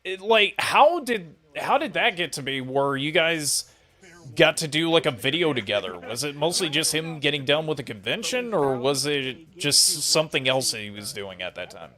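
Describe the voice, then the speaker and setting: A man's voice: on the high side, very animated, normal speed, pushed and bright. A man chatting as a podcast interviewer in a casual, rambling way over an online voice call. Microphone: voice call on Discord.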